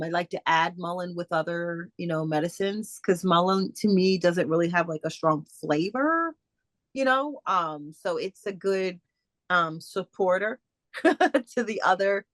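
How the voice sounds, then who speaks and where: A woman speaker answering audience questions in a casual, halting way, with many fillers and restarts over a video call. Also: lowish voice, fairly bright tone, no echo. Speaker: guest speaker.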